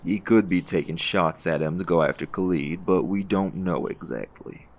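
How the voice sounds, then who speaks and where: An actor playing a calm, authoritative vigilante leader in an audio roleplay, with a folksy, firm way of talking. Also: male voice, sits low, normal speed. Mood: firm, patient.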